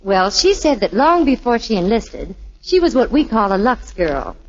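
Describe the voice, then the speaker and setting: A woman's voice: on the high side, big pitch swings, moderate pace. A woman spokesperson in a scripted 1940s radio advertisement, telling anecdotes in chatty period style. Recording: dull 1940s radio recording.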